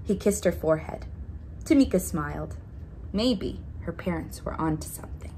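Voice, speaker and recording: A woman's voice: fairly low pitch, expressive, moderate pace, dark tone, rough recording. A woman reading a children's picture book aloud expressively, drawing out key words for emphasis. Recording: noticeable background noise.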